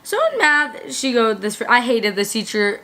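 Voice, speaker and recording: A woman's voice: fairly high pitch, lively intonation, unhurried, very noisy. A woman vlogger chatting casually and reading out old school emails, giggly and rambling, full of fillers.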